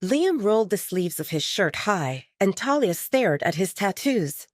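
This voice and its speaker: A woman's voice: mid-range voice, sing-song, unhurried, no room sound, clean studio sound. A woman reading scripted romantic fiction as an audiobook narrator, telling the story expressively in the third person.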